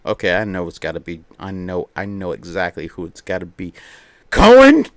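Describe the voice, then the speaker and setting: Animated, deep-voiced man reading aloud on stream. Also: normal speed, soft background music. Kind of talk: livestream read-aloud mixed with playful banter with viewers.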